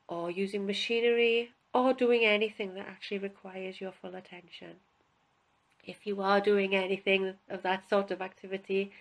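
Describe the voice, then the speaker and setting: A woman instructor guiding a relaxation session in a calm, unhurried, instructional way with pauses. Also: medium pitch, rough recording. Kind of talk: guided relaxation, spoken instruction.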